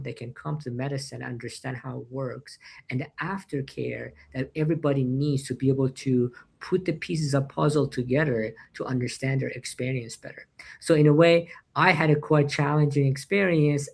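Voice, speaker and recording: Male interview guest, mid-pitched, earnest and reflective, slightly halting English, heard over a video call. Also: gravelly, breathy edge. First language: Persian. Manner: earnest, with frequent "uh" and non-native grammar slips. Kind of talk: reflective personal storytelling.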